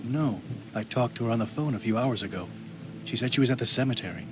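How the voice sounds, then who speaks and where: A man's voice: mid-range voice, fast, noisy background, close to an everyday voice. An actor playing a troubled, guarded man in a video game, speaking tersely and broodingly. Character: troubled, guarded man searching for his missing sister. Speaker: main character of a video game. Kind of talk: scripted game voice acting, brooding monologue and dialogue.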